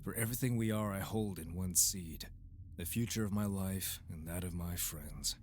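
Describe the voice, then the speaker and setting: A man playing a deep-voiced grave rebel. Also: natural voice. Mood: solemn. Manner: calm, measured, grave. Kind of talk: audio drama acting. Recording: steady background hiss.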